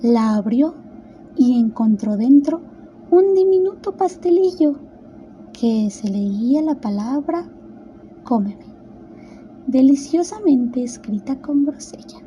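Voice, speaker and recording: A woman reading a children's tale as an expressive scripted storybook narrator. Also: high voice, slow, muffled recording, slight background noise.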